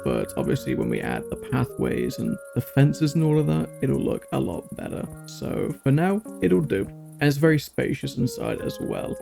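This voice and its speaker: A man narrates his gameplay in a casual, chatty way, thinking aloud in short bursts. His voice is thick with vocal fry and moves animatedly in pitch around an average male register. Music plays clearly behind him. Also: very animated, moderate pace. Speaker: gaming video host. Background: background music.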